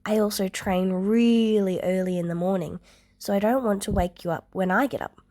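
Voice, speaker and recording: A woman's voice: medium pitch, fairly even intonation, normal speed, warm tone, natural voice. A woman voicing a caring older girl from a story, casual and reassuring, cheerful with an awkward streak. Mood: subdued, honest.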